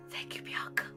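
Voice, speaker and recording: A woman's voice: sharp tone, full character voice. A woman playing a meek, apologetic job seeker in a comedy radio drama, hesitant and stammering as she pleads. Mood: timid. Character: meek, flustered young woman desperate for a job.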